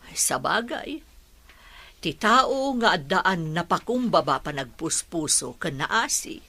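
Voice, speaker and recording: A woman's voice: on the low side, big pitch swings, slow, pushed and bright, full character voice. A woman playing a pious, approving counsellor in an Ilocano radio drama.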